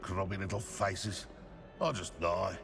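An actor voicing a grumpy, sarcastic stone gargoyle in a video game, complaining with sardonic disdain. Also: male voice, low voice, steady tone, a little slow, gravelly, fairly bright tone, soft background music, heavily acted. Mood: scornful. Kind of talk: video game character voice acting.

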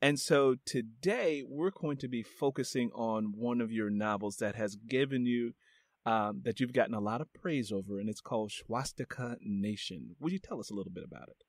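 A man's voice: medium pitch, moderate pace, dry recording, studio quality. A man hosting a book podcast, chatting in a friendly, rambling way with fillers and restarts. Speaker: podcast host. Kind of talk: casual interview chat.

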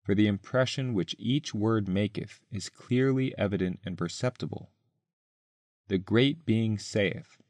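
Low-voiced man reading, very dry sound. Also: no echo. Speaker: article author and reader. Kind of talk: scripted narration, reading an essay aloud.

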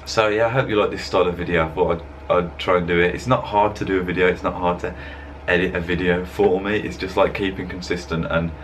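A man's voice: deep voice, steady tone, fast, hardly any pauses, a little hiss or hum. A young man talking casually in a rambling, laid-back way as a fitness vlogger, speaking to a webcam at his desk. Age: young adult. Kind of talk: casual voice-over vlog. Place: indoor room, voice-over over gym footage.

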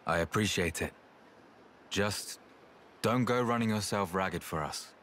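A man's voice: fairly low pitch, a little slow, fairly bright tone, slight background noise. An actor playing a stoic, kindly armoured machine man in a video game. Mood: steady, grateful.

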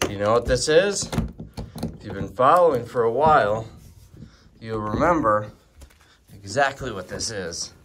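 A man's voice: medium pitch, animated, a little slow, pauses often, noisy background. A young man from the United States, chatting casually about his warehouse sale while filming himself with a phone held at arm's length. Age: young adult. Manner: rambling, matter-of-fact, filming himself while working. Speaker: vlogger running a warehouse sale. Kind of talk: casual vlog chat. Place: warehouse indoors and outdoors by the road.

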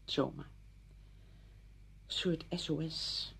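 An actress playing an exasperated, blunt, emotional neighbour in a radio play, agitated and emphatic. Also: female voice, low voice, steady tone, slow pace, creaky, fairly bright tone, whispered, full character voice. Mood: hurt, reproachful. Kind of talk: Dutch radio play acting.

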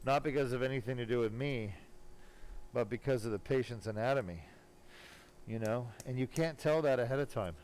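A man's voice: medium pitch, brisk, darkish tone. Male surgeon talking over operating room noise. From born in Germany, later lived in California. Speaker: spine surgeon operating and explaining. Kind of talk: live surgery commentary, casual explanation. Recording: clearly noisy operating room sound. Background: room noise and ambience.

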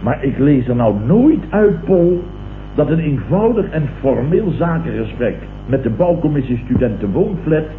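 Pompous blustering boss, old radio. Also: male voice, on the high side, big pitch swings, measured pace, phone-quality sound. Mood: indignant. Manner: pompous, repeats others' words mockingly. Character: blustering, indignant self-satisfied company boss and uncle. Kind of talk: 1970s Dutch radio comedy acting. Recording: noisy, roomy old radio broadcast recording.

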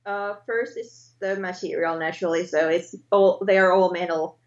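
A woman in her thirties from Finland with a Finnish accent, speaking English hesitantly as a guest on a video call, often pausing to search for words and correcting herself. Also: lowish voice, steady tone. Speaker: guest artist. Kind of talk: casual interview chat. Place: home art studio indoors. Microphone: webcam on video call. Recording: roomy, dull lo-fi webcam sound.

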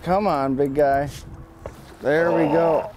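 A man's voice: on the high side, steady tone, slow pace, background noise. A man in his thirties chatting casually while fishing from a small boat outdoors, laughing easily, on a lapel mic. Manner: easygoing, laughs often while reeling. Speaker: fishing guide and guest.

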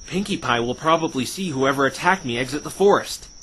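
A man's voice: mid-range voice, lively intonation, a little fast, bright, forceful tone, a little hiss or hum. A man playing an earnest, plain-spoken, wry male defense lawyer in conversational game-style dialogue. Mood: uneasy, thinking. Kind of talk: fan dub of visual novel game dialogue. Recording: background music under the voice.

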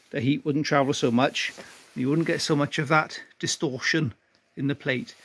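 A man doing an unhurried, self-deprecating welding demonstration as an amateur car restorer in a cluttered garage workshop, explaining each step. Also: on the high side, no echo.

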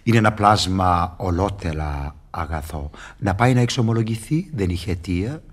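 Husky, swooping, sly devil. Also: male voice, deep voice, animated. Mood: teasing. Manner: wheedling, teasing, declamatory. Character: sly, mocking devil tempter and fixer, male. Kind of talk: theatrical radio drama acting.

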